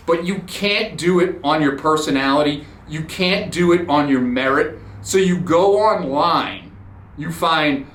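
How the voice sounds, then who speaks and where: A man's voice: fairly high pitch, a bit bright. A man hosting a podcast, telling a blunt, profane, sarcastic story in a ranting monologue. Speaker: podcast host. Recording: roomy echoey room.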